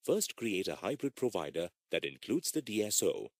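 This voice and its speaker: A man reading scripted instructional narration in a measured, formal manner, as for an e-learning course. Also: lowish voice, big pitch swings, a little mellow, no room sound, studio quality.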